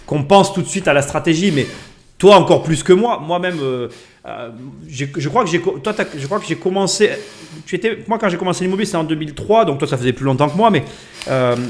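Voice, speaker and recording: A male host running a live interview, talking in a loose, chatty manner. He hesitates and restarts often and laughs easily. His voice sits a little higher than most men's. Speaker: podcast host.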